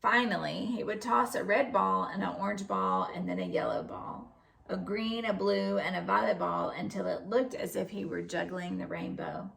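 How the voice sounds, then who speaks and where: A woman reading a children's story aloud in an expressive narrating style. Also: fairly low pitch, normal speed, vocal fry, poor audio. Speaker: storybook reader.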